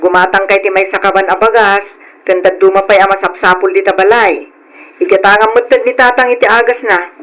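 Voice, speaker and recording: A woman's voice: mid-range voice, fairly even intonation, brisk, a bit bright, close to an everyday voice, low-quality recording. A woman playing a caring, timid young Filipina working abroad in an old-style radio drama. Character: young Filipina migrant worker, caring older sister, timid. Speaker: narrator and lead of a letter drama.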